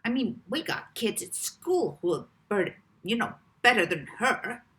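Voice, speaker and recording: A woman's voice: mid-range voice, sing-song, unhurried, a bit bright. A woman voicing a small, unimpressed American boy in a storybook, blunt and matter-of-fact. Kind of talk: storybook character voice.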